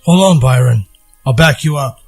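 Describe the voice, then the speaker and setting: A man's voice: mid-range voice, normal speed, gravelly, slightly rough, faint music behind. A man voicing a loyal, protective fighter in a fantasy audio story, urgent and concerned.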